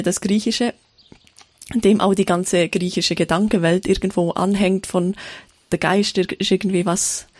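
A woman giving a sermon in a thoughtful, searching way, often pausing with fillers and restarting her sentences. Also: mid-range voice, darkish tone, no echo. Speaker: preacher.

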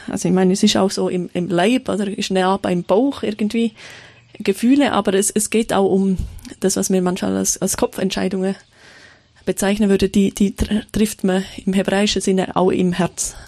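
A woman preaching in a reflective, unhurried way, with a gravelly edge to her voice. She keeps stopping to restart her sentences and fills the gaps with small hesitations. The sound is dry and close. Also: medium pitch, steady tone, a little mellow, dry recording. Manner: thoughtful, with frequent fillers and restarts. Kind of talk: sermon.

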